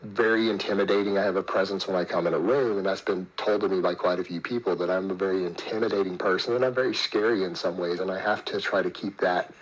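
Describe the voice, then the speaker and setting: A man's voice: deep voice, fairly even intonation, quick pace, few pauses. A man in his forties talking casually to camera in a self-assured, boastful way. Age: 40s. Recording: echoey room.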